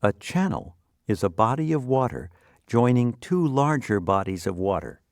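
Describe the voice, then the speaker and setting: A man reading scripted educational narration as a vocabulary teacher, with deliberate, instructional enunciation. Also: medium pitch, very animated, dry recording, clean studio sound.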